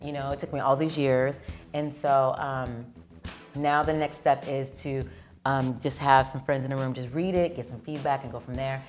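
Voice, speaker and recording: Guest: woman actor-producer, very low voice, animated storyteller, faint music underneath. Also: normal speed. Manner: animated storyteller who acts out quoted lines. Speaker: actress and producer, interview guest. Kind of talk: casual interview chat.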